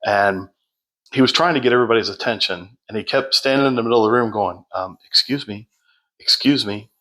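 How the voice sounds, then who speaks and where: Guy on a podcast telling his story, loud voice that carries, slow with lots of uhs, kinda low pitch. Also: low-quality recording. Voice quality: loud, projecting voice. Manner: unhurried, with many fillers and restarts. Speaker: podcast guest. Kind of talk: casual storytelling.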